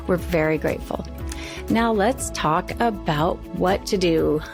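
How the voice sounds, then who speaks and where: A woman's voice: on the low side, a little slow, creaky, music in the background. A woman co-hosting a podcast, introducing and talking through the discussion in a conversational way. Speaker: podcast co-host.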